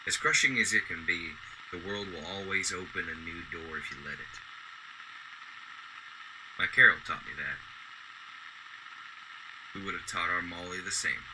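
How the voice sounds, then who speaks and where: Man acting as old widowed war veteran, very low voice, gentle, wistful, radio drama, noticeable background noise. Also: moderate pace, pauses often, close to an everyday voice. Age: older.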